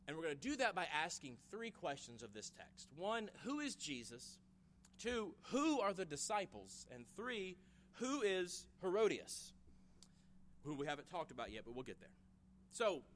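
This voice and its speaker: A man from Memphis, preaching a conversational guest sermon with jokes and rising questions. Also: a little high. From Memphis, Tennessee. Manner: conversational, joking, lively rises on questions. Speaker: guest preacher, church planter.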